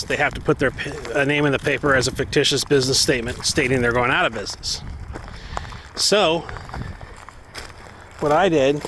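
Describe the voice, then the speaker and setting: A man's voice: fairly high pitch, fairly bright tone. A man telling a story in a casual, rambling, conversational way, as a vlogger out on a walk. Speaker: vlogger, former online knife maker. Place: outdoors, walking dogs in an urban area. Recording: outdoor ambience and traffic noise. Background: faint outdoor and vehicle noise.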